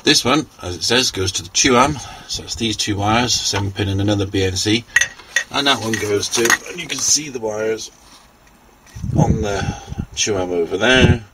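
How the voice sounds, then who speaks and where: A man giving an informal hands-on technical walkthrough, unscripted and halting as he thinks aloud. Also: on the low side. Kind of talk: informal hands-on show-and-tell narration. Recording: clearly noisy handheld amateur recording.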